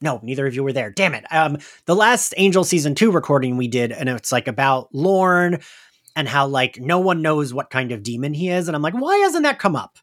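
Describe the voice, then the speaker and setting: A man's voice: fairly high pitch, very animated, a little fast, fairly bright tone. A man hosting a casual recap discussion, conversational and often questioning. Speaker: podcast host.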